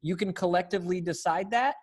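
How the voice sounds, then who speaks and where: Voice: medium pitch, brisk, husky. An American readers theater director coaching casually over a video call, encouraging and hesitant in phrasing. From Springfield, Missouri, United States.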